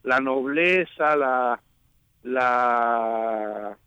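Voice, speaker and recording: A man's voice: medium pitch, drawn-out words, bright, forceful tone, clean tone, no room sound, lo-fi sound. A man talking as a magazine editor guest in a casual radio interview, chatty and often restarting his sentences.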